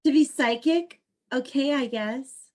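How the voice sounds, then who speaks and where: Woman acting high-voiced, perky young graduate. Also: takes it slowly, mellow. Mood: giddy. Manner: girlish slang, emphatic. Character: Madison, perky, agreeable young graduate, earnest homebody. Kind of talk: online play reading, comedic dialogue.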